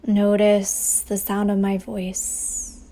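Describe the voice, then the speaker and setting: A woman leading a guided meditation as a teacher, speaking softly and breathily in a soothing way with long pauses, over ocean waves. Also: mid-range voice, monotone, rough recording. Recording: faint ambient wave noise behind voice. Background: ocean waves sound effect.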